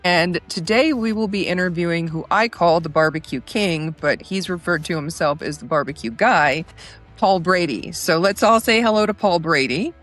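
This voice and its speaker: A woman's voice: on the low side, moderate pace, a bit bright, faint music behind. A woman hosting an interview show, introducing and questioning her guest. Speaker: host.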